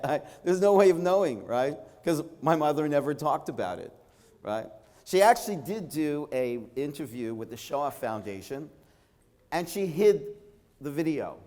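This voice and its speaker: A man's voice: medium pitch, darkish tone. A middle-aged man from Canada with a Brooklyn accent, a rabbi giving an inspirational talk in a conversational, anecdotal way, often checking in with his listeners. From Toronto, Canada. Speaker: rabbi, speaker. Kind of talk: inspirational religious talk to an audience. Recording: reverberant hall sound.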